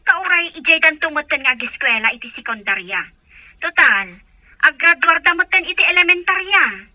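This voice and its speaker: Earnest mother played by a woman, high and very piercing voice, dry audio-drama sound. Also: animated, normal speed, bright, forceful tone, no echo, exaggerated voice. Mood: earnest, explaining. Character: earnest mother and older sister. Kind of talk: Ilocano audio drama acting.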